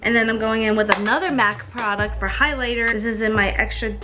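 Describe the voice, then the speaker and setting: A woman's voice: a little high, fairly even intonation, fairly quick. A woman chatting casually and gushingly as a beauty vlogger, giving an informal makeup tutorial to camera. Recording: music playing behind the voice. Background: background music.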